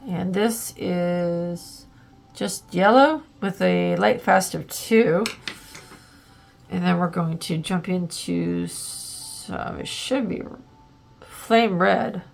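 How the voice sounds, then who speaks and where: Stuffy-nosed lady doing a laid-back art demo, low gravelly voice, lots of ums, soft music under it. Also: very slow. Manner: chatty, thinking aloud while working, many ums and likes. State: congested, a little plugged up. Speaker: art channel host. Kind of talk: casual art tutorial demonstration.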